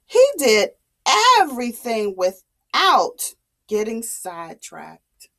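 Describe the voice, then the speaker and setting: A woman's voice: medium pitch, very animated, slow, fairly bright tone. A woman telling a story to young children in a dramatic way, asking questions and repeating things to explain them.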